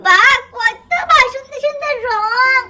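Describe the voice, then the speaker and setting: A woman's voice: extremely high pitch, slow pace. A woman voicing an eager, stubborn little girl doll in a cartoon story, childish and wheedling, repeating her words. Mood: excited.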